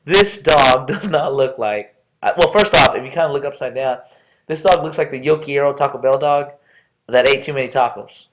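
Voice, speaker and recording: A man's voice: medium pitch, moderate pace. A middle-aged man from Texas with an American accent gives a playful, chatty, informal self-introduction as a college professor, often stopping and restarting. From Texas, United States. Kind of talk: informal self-introduction lecture for an online class.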